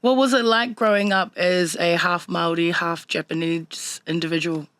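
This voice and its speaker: A woman's voice: on the low side, fairly even intonation, normal speed, a bit bright, clean tone, no echo. A woman hosting an interview. She asks open, curious questions. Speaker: interviewer host.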